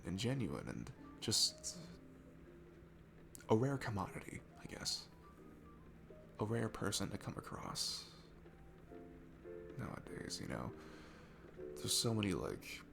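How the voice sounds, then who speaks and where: A man acts out a sweet, nervous young man chatting intimately in an ASMR roleplay. His voice sits fairly low with a lot of gravelly fry. He is awkward and sincere, halting and restarting as he goes, with music clearly playing behind him. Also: full character voice. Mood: shy, sweet. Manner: awkward, halting, stammering, earnest. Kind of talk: ASMR roleplay audio, casual intimate chat.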